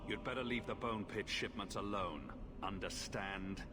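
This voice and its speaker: An actor playing a composed, pragmatic adventurer in a fantasy video game, speaking directly and firmly. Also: male voice, fairly low pitch, a little slow, music in the background, close to an everyday voice. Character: assured, pragmatic male adventurer, calmly firm. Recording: noisy game ambience.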